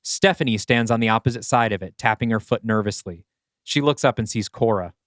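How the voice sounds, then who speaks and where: A man's voice: fairly low pitch, a little fast, no room sound, studio quality. A man reading scripted, screenplay-style narration for an audio play. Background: background music.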